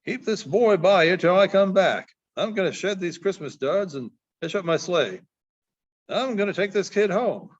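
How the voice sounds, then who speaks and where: A man reads the part of a gruff but kindly frontiersman in a storybook tale. He is plain-spoken and quietly commanding, with a varied, lively pitch. The recording sounds a touch dull. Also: moderate pace. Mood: decisive.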